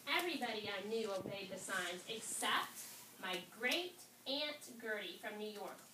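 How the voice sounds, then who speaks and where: A woman teacher reading aloud and instructing a fifth-grade class, pausing to pose questions to her students. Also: medium pitch, animated. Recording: roomy, distant, noisy classroom recording.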